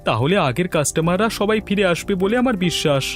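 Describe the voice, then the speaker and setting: A man's voice: high-pitched, expressive, fast talker. A man voicing an earnest, deferential shop helper in a cartoon story, reasoning. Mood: earnest, cautioning. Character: earnest male chop-shop helper advising his boss. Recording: faint music under the voice.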